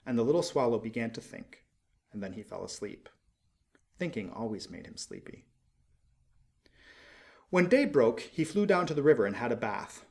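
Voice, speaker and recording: Man, storybook narration, very animated intonation, medium pitch, expressive and measured. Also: gravelly. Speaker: audiobook reader.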